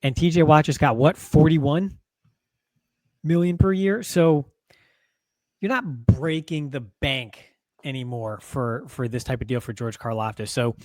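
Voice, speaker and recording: A man's voice: mid-range voice, a little slow, darkish tone, no echo. A man co-hosting a football podcast in casual chat, wry and teasing, reasoning through his points. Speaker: podcast co-host, football analyst.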